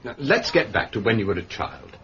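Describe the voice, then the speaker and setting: An actor playing a mild, thoughtful English gentleman sleuth in a period radio drama, polite, diffident and gently probing. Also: fairly low pitch, very animated, fairly quick. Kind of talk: British period detective radio drama acting. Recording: muffled radio recording, clear background noise.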